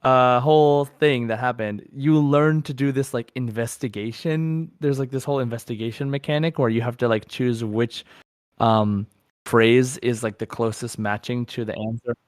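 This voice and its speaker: A man co-hosting a casual gaming podcast, talking in an excited, meandering way. His pitch sits in the middle for a male voice, and the recording is close and dry, with little room sound. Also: normal speed, no echo. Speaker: podcast co-host.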